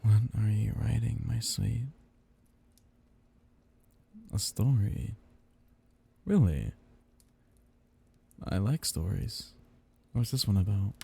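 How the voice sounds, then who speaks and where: Man voicing a dark, gravelly, seductive vampire captor. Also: fairly low pitch, heavily acted. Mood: amused, indulgent. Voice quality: dark, breathy, gravelly murmur. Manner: teasing, slow-savoring, condescendingly tender. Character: seductive, possessive male vampire captor, smugly amused. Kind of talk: intimate ASMR roleplay audio drama.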